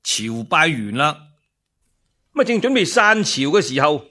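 A man's voice: fairly high pitch, very animated, unhurried, sharp tone. A man with a Cantonese accent narrating historical tales in a dramatic, colloquial storyteller's manner.

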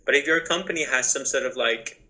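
Bright-voiced young man. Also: medium pitch. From Spain. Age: young adult. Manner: halting, repeats and rephrases, frequent "like". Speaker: interviewee, business owner. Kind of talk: conversational interview answers over a call. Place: indoors in a home room. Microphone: laptop on a video call.

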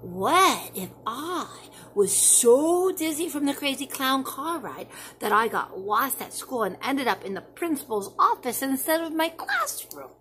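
A woman's voice: sits high, big pitch swings, a little slow, few pauses, fairly bright tone, a little hiss or hum, pitched above the performer's own voice, exaggerated voice, rough recording. A woman voicing an anxious, imaginative little schoolboy who rambles through what-if questions in a children's storybook. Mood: worried, excited. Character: anxious, imaginative little school-age boy pestering his mother. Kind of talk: expressive children's storybook reading.

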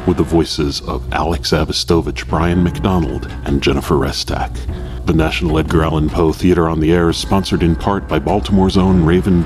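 Deep voiced radio announcer guy reading credits over music. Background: music under the voice.

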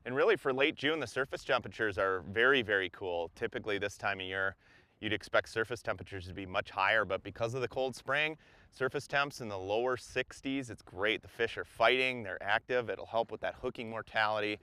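A man in his forties hosts a fishing report from an open boat on a big lake, explaining his methods in an upbeat, chatty way. His voice sits low and sounds smooth and resonant. A lapel mic picks him up outdoors. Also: a little fast, bright, forceful tone. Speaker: fishing guide and show host.

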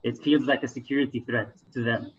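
A man's voice: mid-range voice, fairly even intonation, a little fast, dull audio, slight background noise. A man from Egypt speaking casually and earnestly as an interview guest, thinking aloud as he talks. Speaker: interview guest, climber and environmental advocate.

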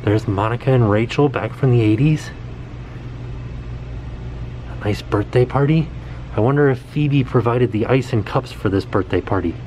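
A man in his forties excitedly pointing things out as he walks through a small indoor set, filmed on a handheld camera at arm's length. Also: mid-range voice, normal speed, long pauses, slight background noise, low-quality recording. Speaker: vlogger. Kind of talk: casual walkthrough vlog. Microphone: camera held at arm's length.

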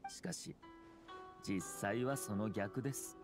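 A man voicing a courteous, calculating young clan head in a Japanese anime game, smooth, formal and measured. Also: lowish voice. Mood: calm, reflective. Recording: game music underneath.

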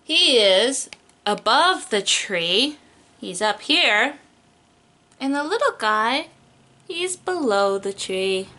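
A woman's voice: a little high, big pitch swings, takes it slowly, pauses often, pushed and bright. A young adult woman, a preschool teacher doing a picture book read-aloud in an exaggerated, child-directed way, stretching key words.

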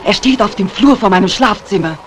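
Actress as unflappable lady novelist, brisk, commanding, 1950s thriller drama, faint background noise. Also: mid-range voice, lively intonation, close to an everyday voice. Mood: urgent. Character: resolute, unflappable lady mystery writer. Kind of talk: 1950s German dubbed thriller acting. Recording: faintly noisy vintage soundtrack.

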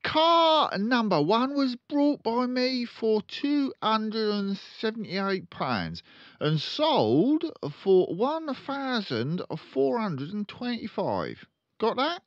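Man putting on a loud, boastful storybook father, high-pitched. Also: sing-song, slow pace, dry recording, muffled recording, exaggerated voice. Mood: dictating. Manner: loud, cocky, emphatic. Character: boastful, crooked, bullying used-car dealer father. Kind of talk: children's storybook character voice.

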